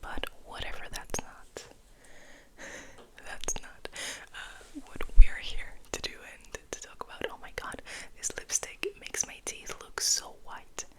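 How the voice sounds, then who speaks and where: Whispering woman, slow ASMR ramble. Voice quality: breathy unvoiced whisper. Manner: intimate, slow, softly rambling. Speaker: ASMR video host. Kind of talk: ASMR, casual rambling to the viewer.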